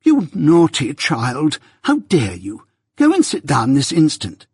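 A haughty mother of genteel pretensions, acted out by a man reading a storybook. The voice is very husky and closely recorded, imperious and easily affronted as it orders the household about. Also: mid-range voice, lively intonation, moderate pace, no echo, clean studio sound. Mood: indignant scolding. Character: haughty, indignant gentlewoman mother scolding her household.

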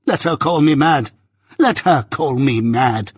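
A man voicing a bitter, possessive old adoptive mother in a novel reading, with impassioned, drawn-out, wailing cries. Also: mid-range voice, expressive, fairly bright tone, slightly rough, no echo, clean studio sound, exaggerated voice. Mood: bitter, anguished.

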